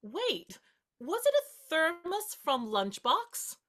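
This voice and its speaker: An actress playing a playful, tender young woman in a play reading, gently teasing as she courts her friend. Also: extremely high pitch, huge pitch swings, takes it slowly, exaggerated voice. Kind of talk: two-hander stage play reading.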